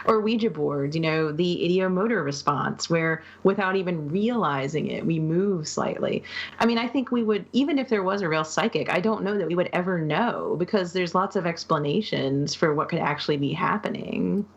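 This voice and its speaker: A woman's voice: lowish voice, normal speed, highs cut off. A woman co-hosting a podcast in casual chat, using many fillers and laughing easily. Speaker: podcast co-host.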